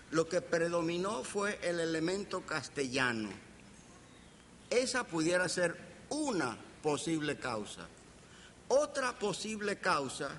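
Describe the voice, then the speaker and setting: A reverberant hall carries the voice of an older male professor with a Caribbean Spanish accent, over some faint noise. His voice sits a little high for a man. He lectures slowly and deliberately, with pauses and playful, anecdotal turns. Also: a bit bright. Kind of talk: anecdotal academic lecture to an audience. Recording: reverberant hall, background hiss.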